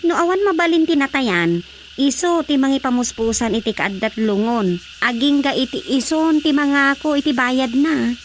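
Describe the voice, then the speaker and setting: A woman's voice: sits high, lively intonation, moderate pace, slight background noise. A woman acting in a radio drama, speaking in a conversational, reassuring way.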